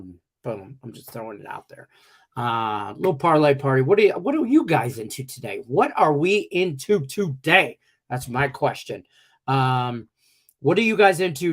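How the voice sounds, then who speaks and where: A middle-aged man hosting a sports betting show, chatting loosely and joking, his voice congested and slightly cracky from a cough. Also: mid-range voice. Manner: loose and joking, rambling with many fillers. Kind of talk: casual live-stream chat.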